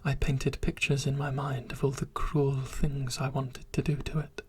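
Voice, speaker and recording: Whispery storyteller, super breathy, mid pitch, calm and even. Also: a little slow. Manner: soft steady whisper. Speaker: narrator.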